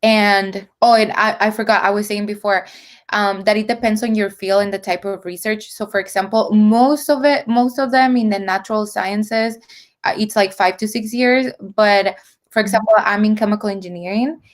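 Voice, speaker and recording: A woman's voice: mid-range voice, fairly even intonation, normal speed. A woman in her twenties from El Salvador, a PhD student answering interview questions in a chatty way, laughing easily and using lots of fillers. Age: 20s.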